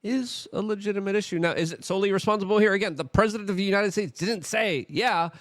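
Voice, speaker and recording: A man's voice: sits high, fast talker, a bit bright, no room sound, clean studio sound. A man hosting an opinionated political commentary, speaking in an emphatic, theatrical and sarcastic manner.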